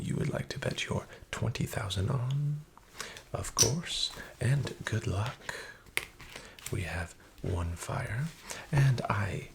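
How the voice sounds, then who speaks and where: A man voicing a sketchy, condescending casino dealer in a soft-spoken ASMR roleplay, half-whispering with false politeness. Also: fairly low pitch, gravelly, heavily acted.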